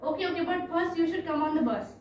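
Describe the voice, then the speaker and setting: A bossy, teasing bus conductor played by a young woman with an Indian accent and a very high voice. The lines are mocking orders from a school play. The recording is distant and very echoey. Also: quick pace, a little mellow. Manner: mocking, instructing. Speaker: student actor. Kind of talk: amateur school play acting. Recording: echoey room, distant mic, faint noise.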